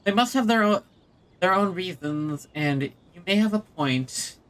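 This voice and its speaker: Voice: medium pitch, expressive, unhurried, a bit bright. A streamer giving casual gaming commentary, wry and hesitant, often stammering over words. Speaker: streamer playing a game. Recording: faint game music behind.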